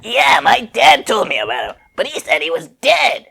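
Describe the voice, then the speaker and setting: A chatty young boy warrior acted by a man in a fan fiction drama. The voice is pushed up high and a little creaky, earnest and eager. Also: huge pitch swings, moderate pace, pushed and bright, full character voice.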